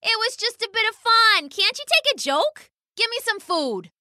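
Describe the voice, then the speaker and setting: A woman's voice: very high-pitched, very animated, moderate pace, sharp tone, no room sound, clean studio sound, pitched above the performer's own voice, exaggerated voice. A woman voicing a brash, hungry female pheasant in a children's story, pushy and taunting. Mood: taunting, demanding.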